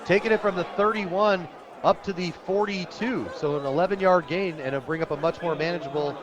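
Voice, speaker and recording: A man's voice: sits high, brisk. An American man doing live radio play-by-play commentary of a football game. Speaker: play-by-play announcer. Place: outdoor high school football stadium. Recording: loud crowd behind, outdoor stadium broadcast. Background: crowd noise.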